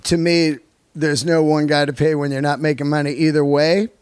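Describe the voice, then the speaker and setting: A man's voice: fairly high pitch, flat delivery, moderate pace, a little mellow, clean tone, dry recording. A man hosting a casual podcast conversation, speaking emphatically and in slangy language, often repeating himself for effect. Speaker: podcast host, comedian and musician.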